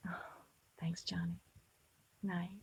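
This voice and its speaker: A woman's voice: lowish voice, completely flat delivery, takes it slowly, gravelly, a little mellow, husky, exaggerated voice. An American actress playing a playful, affectionate young woman with a light, earnest manner in an old radio drama. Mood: soft, pleading. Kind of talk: 1950s radio drama acting. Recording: noisy vintage radio recording.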